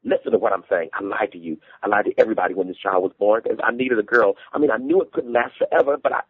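A man's voice: lowish voice, quick pace, slightly rough, no echo, phone-quality sound, pitched above the performer's own voice. A man voicing a distraught Southern wife and mother who pleads and whines in rural dialect. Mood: insistent.

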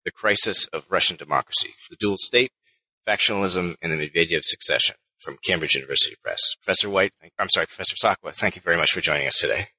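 Brief: male interview host, low voice, fast and very bright, dry close sound. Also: husky, no echo, low-quality recording. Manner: brisk, polished reading. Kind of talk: scripted introduction and interview questions.

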